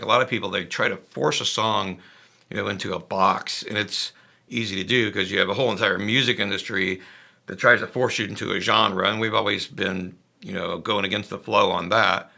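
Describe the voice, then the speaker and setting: A man from a band, talking casually and at length about how one of their songs was made, relaxed and quick to laugh. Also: on the low side, gravelly, soft background music. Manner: relaxed, rambling, laughs easily. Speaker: band member discussing a song. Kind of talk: casual conversational chat.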